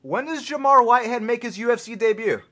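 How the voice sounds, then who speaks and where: A man hosting a podcast interview, hyping up his guest with energetic questions. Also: very high voice, fast. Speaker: podcast host.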